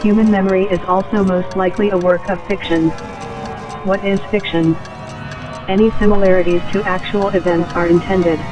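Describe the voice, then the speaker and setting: A man's voice: high voice, little pitch movement, moderate pace, over music. Distant man reading a dry disclaimer. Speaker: disclaimer announcer. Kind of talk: scripted narration.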